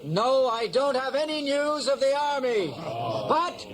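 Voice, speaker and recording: Radio play: young prince, bold yet troubled, very high voice, measured pace, crowd behind. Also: male voice, sing-song, exaggerated voice, poor audio. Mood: pleading, aggrieved. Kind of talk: 1970s radio drama acting.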